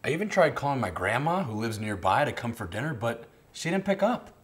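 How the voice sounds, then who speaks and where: A man in his thirties presents a scripted comic promo. His pitch sits in the middle and swings widely, with an upbeat salesman's delivery. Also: big pitch swings, brisk. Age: 30s.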